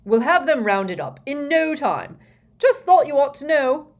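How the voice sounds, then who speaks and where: A woman's voice: fairly high pitch, very animated, unhurried, voice pushed up. A woman voicing a flustered, blustering older male wizard minister in a storybook reading, fretful and emphatic. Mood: flustered, loud.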